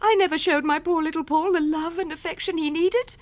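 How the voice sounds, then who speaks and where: Very high-voiced, emotional English wife. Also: female voice, fairly even intonation, a little fast. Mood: grieving. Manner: emotional, dramatic. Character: anxious, loving middle-class English wife, high-strung and earnest. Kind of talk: radio drama acting.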